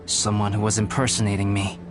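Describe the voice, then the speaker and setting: An actor playing a reserved, analytical young male alchemist in a video game, speaking in a measured, precise and formal way. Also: fairly low pitch, completely flat delivery, music in the background, lightly acted. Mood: calm, analytical.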